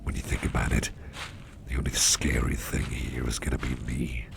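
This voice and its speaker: A man playing a mischievous businessman boss in an intimate ASMR roleplay, murmuring in a halting, gravelly half-whisper. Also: rumbling low, level tone, very noisy, heavily acted. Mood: mischievous, amused. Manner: slow, halting, repeating phrases, softly chuckling. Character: mischievous, toothy businessman boss, affectionate and covert.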